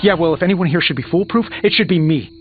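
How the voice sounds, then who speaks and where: An actor playing a proud, guilt-ridden genius superhero in a video game, sarcastic, emphatic and hard on himself. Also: male voice, on the high side, fast talker, close to an everyday voice. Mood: frustrated, self-reproaching. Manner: sarcastic, self-reproaching, emphatic. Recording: muffled game audio under music.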